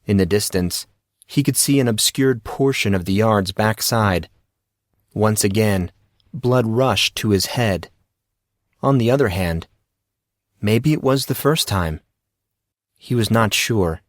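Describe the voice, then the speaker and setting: Guy narrating a novel, lowish voice, takes his time, very dry recording. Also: slow, long pauses, dry recording. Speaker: story narrator. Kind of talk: scripted fiction narration, audiobook.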